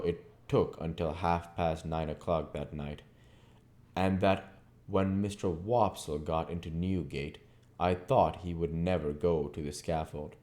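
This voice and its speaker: Deep, mellow man reading a novel. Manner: halting, stumbles over words and corrects himself. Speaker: audiobook reader. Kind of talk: amateur reading of a classic novel.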